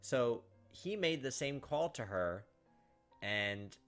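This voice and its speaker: A man's voice: medium pitch, animated, slow pace, a bit bright, soft background music. A young man doing casual game commentary and reading story narration aloud. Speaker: gaming video host.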